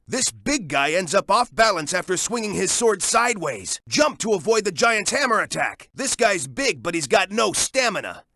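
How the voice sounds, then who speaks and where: An American man voicing a young, hot-headed male echidna hero in a video game, with a gruff, brash and commanding delivery of hints and battle callouts. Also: sits high, bright, forceful tone, no echo, exaggerated voice. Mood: urgent, warning.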